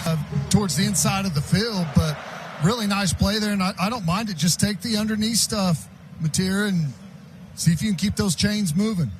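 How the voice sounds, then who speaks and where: A man's voice: sits high, normal speed, background noise. An American man giving live color commentary on a football radio broadcast in a conversational, analytical way.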